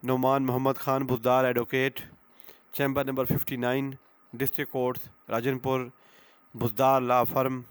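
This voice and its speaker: A man with a Pakistani accent speaks in a mid-pitched voice, delivering an instructional lecture. He explains with simple examples, repeats his key phrases for emphasis and drops English terms into his sentences. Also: a bit bright, clean tone. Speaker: advocate giving law lectures.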